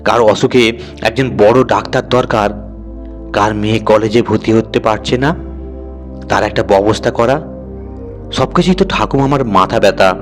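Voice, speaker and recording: A man narrating an audio story in a conversational, expressive, dramatised storytelling manner. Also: on the low side, moderate pace, pauses often, soft background music.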